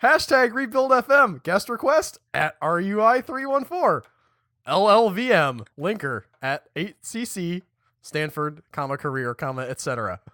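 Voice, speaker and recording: Podcast co-host, young man, bright tense tone, dry close mic. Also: high voice, moderate pace, no echo. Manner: chatty, animated, restarts and stumbles mid-sentence. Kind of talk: casual chat.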